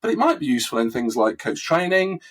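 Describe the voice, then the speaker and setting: A man with a husky, recovering voice, answering interview questions in a thoughtful, conversational way with frequent fillers and restarts. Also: mid-range voice, big pitch swings, quick pace. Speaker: coaching expert guest.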